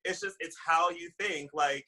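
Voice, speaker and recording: A man's voice: on the high side, moderate pace, bright, forceful tone. A man chatting casually as a guest on a video call, talking loosely with lots of fillers. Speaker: panel guest.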